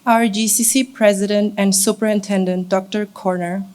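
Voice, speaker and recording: A woman's voice: medium pitch, fairly even intonation, moderate pace. Young woman giving a formal welcome. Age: young adult. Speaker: student association president, event host. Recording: faint background noise, clicks.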